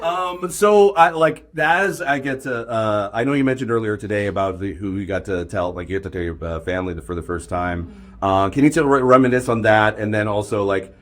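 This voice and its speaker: Lowish voiced guy doing an interview, kinda nervous and halting, casual chat.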